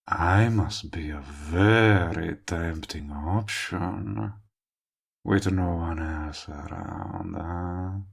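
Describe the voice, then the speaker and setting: A man's voice: deep voice, drawn-out words, gravelly, slightly rough, full character voice. A man playing a cocky, lovestruck villain in an audio roleplay, teasing and coaxing the listener he is wooing. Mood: smug, teasing. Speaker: voice actor. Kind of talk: intimate audio roleplay acting addressed to the listener.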